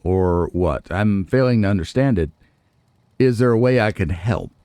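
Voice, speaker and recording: A man's voice: on the low side, animated, moderate pace, warm tone, clean tone, dry recording. A man giving casual let's play commentary on a video game, musing to himself and poking fun at himself. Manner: musing to himself, self-deprecating. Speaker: gaming video host, let's player.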